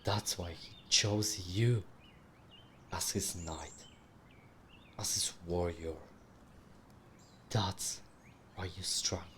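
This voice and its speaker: Male meditation guide, low register, soothing and measured with long pauses, birdsong ambience behind. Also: steady tone, a bit bright, a little hiss or hum. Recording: clearly audible background ambience with birdsong. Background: birdsong and nature ambience.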